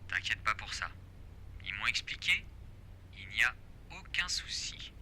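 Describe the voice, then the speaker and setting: An actor playing a forest ranger in a French audio drama, reassuring his partner in a hushed, evasive way. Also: lowish voice, very animated, measured pace, exaggerated voice. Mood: soothing. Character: male forest ranger soothing his frightened wife.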